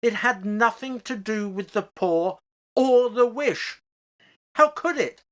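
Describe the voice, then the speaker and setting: A woman playing an anguished elderly parent who tries to reason through grief, pleading and halting in an amateur radio play. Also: fairly high pitch. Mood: alarmed.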